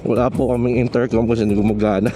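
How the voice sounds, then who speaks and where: A man's voice: mid-range voice, level tone, racing, warm tone, a little hiss or hum. A Filipino man vlogging on a motorcycle, talking in a casual, joking, slangy way and mixing in English. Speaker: motovlogger. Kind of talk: casual chat while riding a motorcycle. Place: outdoors on a motorcycle in city traffic.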